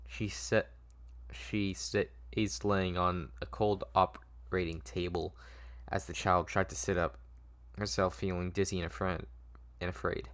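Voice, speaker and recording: A man's voice: low voice, flat delivery, unhurried, a bit bright. A man narrating a scripted story informally at a table read, stumbling over the script as he goes.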